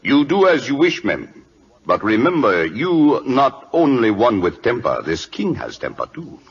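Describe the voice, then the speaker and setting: An actor playing a grave, dryly patient Siamese prime minister in a radio drama, speaking clipped, formal broken English with a Siamese accent. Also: male voice, medium pitch, wildly swooping pitch, a little slow, slightly rough, heavily acted. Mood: formal, warning. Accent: put-on Siamese. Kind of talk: 1940s radio drama acting. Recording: dull lo-fi old radio recording.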